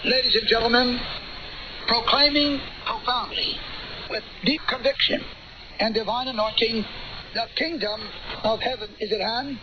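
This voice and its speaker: A man with an extremely high voice proclaims a fervent religious radio intro in grand, declamatory phrases. The shortwave sound is dull and clearly noisy. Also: fairly even intonation, a little slow, pauses often. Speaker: religious broadcast announcer.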